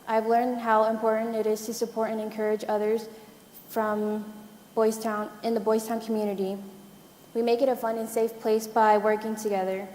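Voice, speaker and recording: A woman's voice: a little high, flat delivery, normal speed, mellow, a little hiss or hum. Earnest teen girl reading a prepared speech. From United States. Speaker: student mayor, panelist. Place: conference hall. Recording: echoey conference hall.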